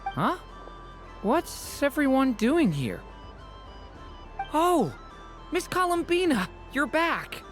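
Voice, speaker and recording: A woman's voice: sits high, sing-song, takes it slowly, long pauses, faint music behind, full character voice. A woman voicing an earnest, friendly youngster in a fantasy video game. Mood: surprised.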